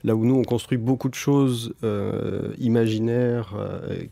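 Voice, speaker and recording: A man who founded an audio company, answering interview questions thoughtfully with halting pauses. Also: mid-range voice, steady tone, vocal fry, warm tone, dry recording. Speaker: guest audio tech founder. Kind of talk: studio podcast interview.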